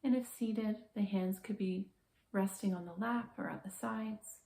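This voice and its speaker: A woman guiding a body scan meditation in a calm, gentle, measured manner with slow, soothing pauses. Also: medium pitch, reverberant. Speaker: meditation guide, therapist.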